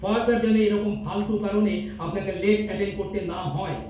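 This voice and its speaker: A man acts out a condescending, impatient company boss in a staged audio drama. His voice sits high for a man, and he scolds pompously, slipping into English. The sound is distant and very reverberant, as from a hall stage. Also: steady tone, fairly quick, natural voice. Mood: impatient, dismissive. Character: stern, condescending male company boss, business-minded and impatient. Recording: distant echoey hall, dull, faint noise.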